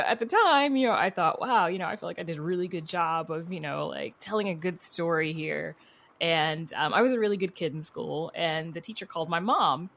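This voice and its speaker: A woman's voice: on the low side, a bit bright. A woman telling stories casually as an interview guest, candid and chatty, with lots of "you know" and "like". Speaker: podcast guest, horror writer. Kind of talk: casual conversational storytelling.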